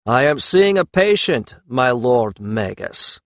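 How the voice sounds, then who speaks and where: A man's voice: medium pitch, sing-song, takes it slowly, no room sound. A man voicing a sly foreign healer in an audiobook, mock-deferential and ingenuous, with a thickened foreign accent. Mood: mock-innocent. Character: sly male foreign healer, feigning innocence, quietly mischievous. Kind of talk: audiobook character voice.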